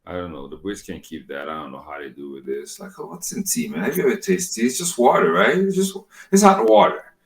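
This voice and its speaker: A man with a very low, deep voice co-hosts a podcast. He chats in a loose, rambling way, swearing freely and drifting from one thought to the next. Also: very animated, brisk, vocal fry. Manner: laid-back, rambling, profane. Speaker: podcast co-host. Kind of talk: casual chat.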